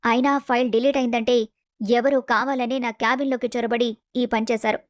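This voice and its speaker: Composed young professional woman, played by an actress: high pitch, courteous but firm, for a dramatized family story. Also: steady tone, dry recording, clean studio sound, close to an everyday voice. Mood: calm, firm. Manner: polite, measured, firm. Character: Geeta, composed, principled young office woman.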